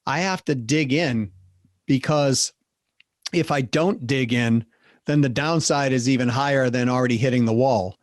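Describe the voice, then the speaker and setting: A man's voice: medium pitch, a little slow, dry recording, clean studio sound. A middle-aged man with a Boston accent hosting a business podcast, upbeat and emphatic, speeding up in bursts. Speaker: podcast host. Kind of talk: interview hosting and wrap-up.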